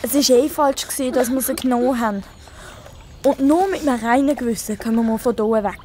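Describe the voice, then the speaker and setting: A woman's voice: a little high, a little fast, warm tone, slight background noise, lightly acted. A woman playing an earnest, sensible young girl who looks after her brother, in a children's radio play. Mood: earnest, urging.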